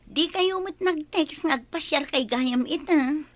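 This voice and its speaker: A woman's voice: high-pitched, unhurried, dry recording. An actress with a Filipino accent playing a hardworking, nagging young girlfriend in a radio drama, speaking earnestly. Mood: pleasantly surprised.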